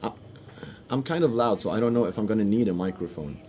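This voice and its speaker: A man interpreting a panel discussion live, in halting phrases with restarts and sudden bursts of speed. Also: fairly low pitch, expressive, dark tone, slight background noise. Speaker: simultaneous interpreter.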